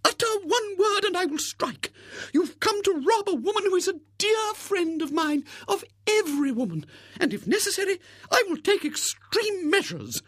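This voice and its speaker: A shrill gushing spinster. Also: male voice, very animated, normal speed, fairly bright tone, no room sound, exaggerated voice. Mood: threatening. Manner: shrill, breathless and theatrical. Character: gushing, overbearing tall thin spinster.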